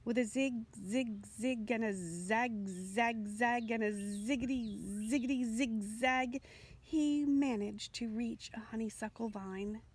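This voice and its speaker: A woman reading a children's picture book aloud as an expressive storytelling narrator. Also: fairly high pitch, slow pace, husky. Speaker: storybook reader.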